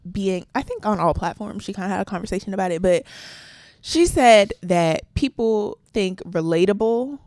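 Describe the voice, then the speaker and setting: A soft-spoken young woman co-hosting a podcast, telling stories in a casual chat and acting out quoted voices. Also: mid-range voice, moderate pace, dry recording, clean studio sound. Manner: animated storytelling with quoted voices. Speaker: podcast co-host.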